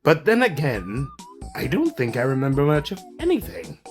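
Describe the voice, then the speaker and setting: A man plays a kindly, absent-minded male tinkerer from a cartoon. His delivery is mild, polite and puzzled, and it swings widely up and down in pitch. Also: huge pitch swings, normal speed, vocal fry, faint music behind, heavily acted. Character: gentle, befuddled, kindly amnesiac older male tinkerer. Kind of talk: comic book fan dub cartoon acting.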